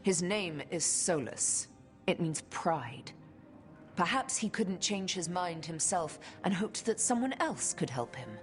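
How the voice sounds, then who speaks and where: Wistful elf woman, low voice. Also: lively intonation, soft background music. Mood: heartbroken, wistful. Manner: measured, reflective, wistful. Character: solemn, wistful female elf inquisitor, once betrayed in love. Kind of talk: dramatic fantasy game voice acting.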